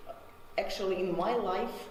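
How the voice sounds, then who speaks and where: Woman, Ukrainian accent, language teacher, halting speech, roomy echo. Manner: hesitant, many fillers and pauses. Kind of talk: interview answers. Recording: echoey room, faint background noise.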